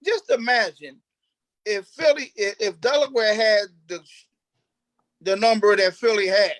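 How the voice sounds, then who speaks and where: Guy w high voice, play cast member talking off the cuff, emphatic, repeats himself a lot, dry sound. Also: fairly bright tone, dry recording. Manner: emphatic, repetitive, halting restarts. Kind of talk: unscripted panel discussion.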